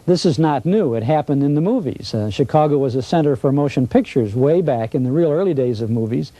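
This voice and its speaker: An older man giving informal historical commentary in an interview, explaining in measured phrases. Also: a little high, lively intonation, dark tone, no echo.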